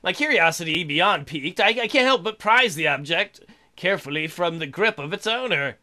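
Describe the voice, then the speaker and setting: A man's voice: sits high, animated, normal speed, bright, forceful tone. A man hosting a let's play, reading a visual novel aloud and breaking in with irreverent, playful, mocking asides.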